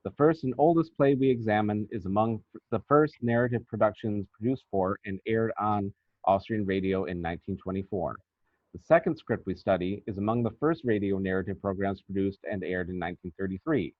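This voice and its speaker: A man's voice: lowish voice, a little fast, no room sound. A man reading a scripted academic paper aloud, on a muffled, narrow-band recording. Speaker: academic researcher presenting a paper.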